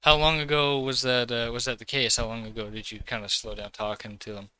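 A man's voice: medium pitch, flat delivery, a little fast, pushed and bright. A man hosting a podcast in a casual, reassuring interview chat, prompting his guest. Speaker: podcast host.

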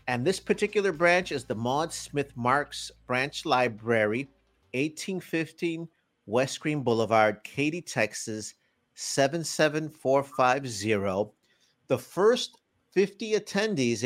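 A man hosting a community radio and podcast show, introducing guests with energetic, emphatic delivery. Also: mid-range voice.

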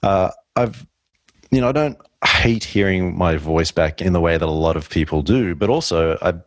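A man with a very deep, low voice hosts a casual podcast conversation. He muses aloud, restarting phrases as his thoughts form. The sound is dry and close, with no room around it. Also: expressive, normal speed, no echo. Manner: thoughtful, rambling with frequent restarts. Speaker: podcast host.

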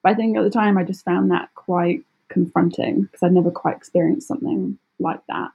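A British woman speaking casually as an interview guest, chatty and expressive, often acting out little quotes. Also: lowish voice, a little fast, gravelly, breathy edge, dull audio. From United Kingdom. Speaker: podcast guest, travel blogger.

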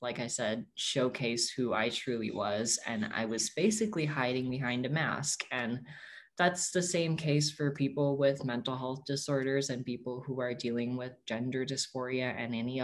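A man's voice: medium pitch, moderate pace. Earnest young man answering an interview. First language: Tagalog. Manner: earnest and reflective, with frequent self-corrections. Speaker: interviewee, activist.

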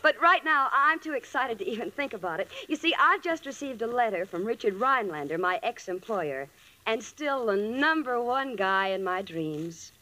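A woman's voice: fairly high pitch, normal speed, low-quality recording. An actress playing a wisecracking, affectionate young American working girl in a 1940s radio sitcom. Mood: excited, smitten. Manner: wry, animated, theatrical.

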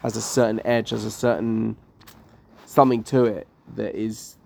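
A man talks loosely in a casual podcast chat. His voice has a gravelly, fry-edged texture, and his sentences start over and trail off as he thinks aloud. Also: fairly low pitch, level tone, normal speed, darkish tone. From Northwest London. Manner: rambling, many restarts and trailing sentences.

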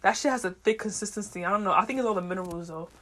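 A woman hosts a solo podcast in a loose, casual way. She speaks in slang and swears freely. Her talk is unfiltered and wanders as she thinks out loud. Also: mid-range voice, rapid-fire. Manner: meandering, thinking aloud. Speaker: podcast host. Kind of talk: casual rambling monologue.

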